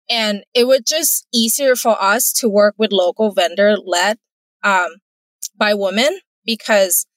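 A woman's voice: medium pitch, fairly bright tone, no room sound, low-quality recording. Earnest Thai-accented woman entrepreneur. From Thailand. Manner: earnest, careful, laughs easily. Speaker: entrepreneur, guest. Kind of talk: casual interview answers.

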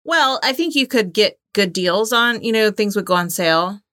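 A woman's voice: mid-range voice, lively intonation, normal speed, pushed and bright, no room sound, studio quality. A woman in her thirties co-hosting a podcast in casual, conversational chat. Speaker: podcast co-host and trivia game player.

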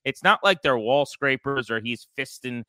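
A man hosting a sports podcast, talking baseball in an animated, conversational style with a co-host. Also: medium pitch, normal speed, smooth, no echo, clean studio sound.

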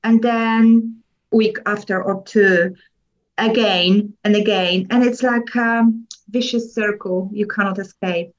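A woman from Poland with a Polish accent, a podcast guest speaking slowly and searching for her words in English. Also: medium pitch, steady tone, highs cut off. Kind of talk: interview conversation.

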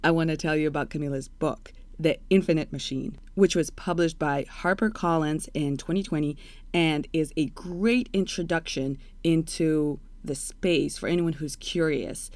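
A woman's voice: low voice, moderate pace, darkish tone, dry recording. Candid, playful woman host. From Russia. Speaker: podcast host. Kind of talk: interview.

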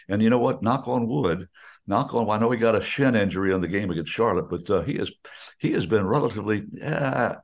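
A man's voice: on the low side, a little fast. An older man, a veteran radio announcer, chatting in a folksy, enthusiastic way and often restarting his sentences. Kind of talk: casual chat on a radio show.

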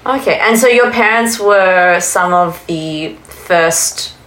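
A woman's voice: fairly low pitch, slow, fairly bright tone, rough recording. A woman with an Australian accent hosting a podcast, reading an intro and asking guests follow-up questions. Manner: friendly, asks follow-up questions. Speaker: podcast host.

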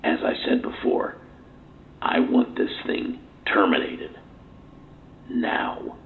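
Grim scientist on tinny tape. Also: male voice, very deep voice, sing-song, slow pace, creaky, exaggerated voice. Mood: grim, urgent. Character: grim, agitated scientist giving an incident interview. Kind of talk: audio drama acting. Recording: tinny interview tape with hiss.

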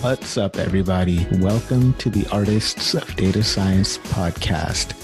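A man's voice: deep voice, unhurried, loud music behind the voice. A man hosting a podcast, upbeat and enthusiastic as he introduces the show and asks questions. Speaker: podcast host.

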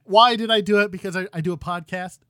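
A man in his thirties hosting a gaming podcast, ranting loudly with exasperation while losing his voice. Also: sits high, steady tone, fast, no echo, clean studio sound. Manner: loud exasperated ranting, swings to quiet muttering. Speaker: podcast host.

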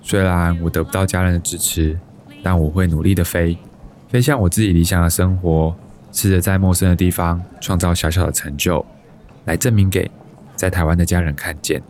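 Earnest young man, low-pitched actor. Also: steady tone, normal speed, darkish tone, a little hiss or hum, lightly acted. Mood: hopeful. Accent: Taiwanese Mandarin. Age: 30s. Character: earnest, determined thirty-two-year-old son chasing a dream. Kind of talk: radio drama monologue and dialogue.

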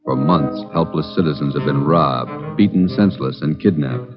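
A man with an extremely deep voice announces a radio crime drama in a grave, emphatic way. The sound is dull and lo-fi, with loud music behind him, like a 1940s broadcast. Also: moderate pace.

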